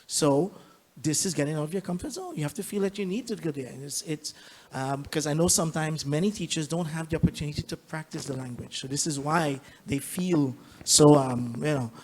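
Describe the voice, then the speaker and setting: A man from Trinidad who teaches, speaking on a conference panel in an animated, self-mocking way. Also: on the high side.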